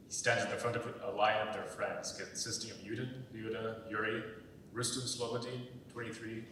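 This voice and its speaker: A young man narrating the stage directions of a script. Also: a bit bright. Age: young adult. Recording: very echoey hall, distant mic, faint rumbling noise.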